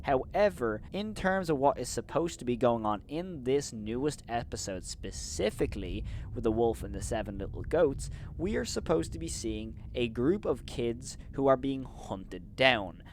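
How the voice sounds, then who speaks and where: A man hosting a commentary video, giving opinionated criticism, leaning on "of course" and "in my opinion" and turning loud and incredulous when he rants. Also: mid-range voice, expressive, normal speed, hardly any pauses, slight background noise. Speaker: host and reviewer.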